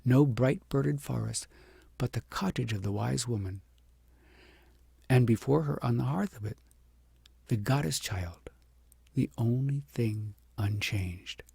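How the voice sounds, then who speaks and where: An audiobook narrator reads a fairy tale in a dark, mellow voice. It is strongly creaky and husky, and the recording is dry. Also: mid-range voice, slow, pauses often, no room sound. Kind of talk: scripted storybook narration.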